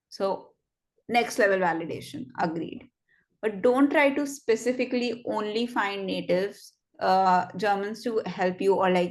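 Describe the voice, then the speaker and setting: Chatty young woman giving friendly advice. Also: medium pitch. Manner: chatty, encouraging, quick asides with fillers. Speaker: host of a student network session. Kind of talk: interactive online session, casual advice.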